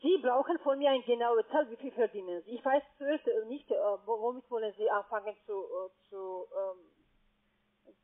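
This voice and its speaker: A man with a foreign accent arguing as a phone sales agent, halting, repetitive and insistent. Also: very high-pitched. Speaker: call centre investment agent. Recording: tinny narrow-band phone line.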